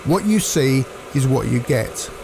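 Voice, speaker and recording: A man, narrating cooking instructions in a measured, explanatory way. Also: mid-range voice, lively intonation, gravelly, background noise. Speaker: cooking presenter.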